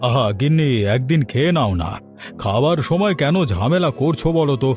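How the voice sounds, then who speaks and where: A man's voice: on the high side, lively intonation, brisk, darkish tone, close to an everyday voice. Man as a mild, placating middle-aged father-in-law. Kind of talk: Bengali cartoon dialogue acting. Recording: background music under voice.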